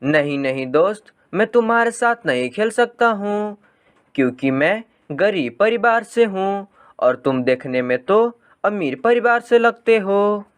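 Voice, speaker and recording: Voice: medium pitch, lively intonation, measured pace, clean tone, lightly acted. A narrator voicing a poor, wistful young boy bird in a cartoon story. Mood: reluctant, humble.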